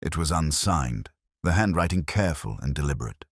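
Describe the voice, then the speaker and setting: A man reading a scripted first-person detective story in a measured, literary, wry manner. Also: very deep voice, animated, breathy edge, no room sound, studio quality. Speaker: narrator.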